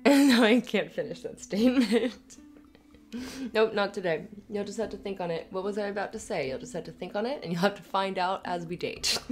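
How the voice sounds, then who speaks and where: A woman playing an affectionate, playful girlfriend in an intimate ASMR roleplay, soft-spoken and hesitant. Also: mid-range voice, natural voice. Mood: teasing.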